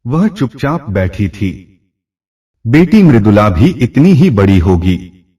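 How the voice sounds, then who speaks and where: A man's voice: mid-range voice, sing-song, takes it slowly, warm tone, breathy edge, far from the mic. A man narrating a scripted short story as a storyteller, recorded a little away from the mic.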